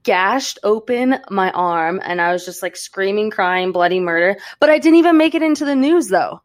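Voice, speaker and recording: A young woman in her twenties telling stories in a lively, playful way on a casual podcast. Her speech is full of "like" fillers and quick, jokey asides. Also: medium pitch. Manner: animated, uses "like" a lot, playful. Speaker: podcast co-host. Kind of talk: casual chat.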